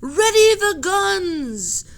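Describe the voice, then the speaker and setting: A woman's voice: extremely high pitch, big pitch swings, extremely slow, creaky, voice pushed up, full character voice. A woman reading aloud voices a stern, frightened male ship captain who barks orders. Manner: barking orders.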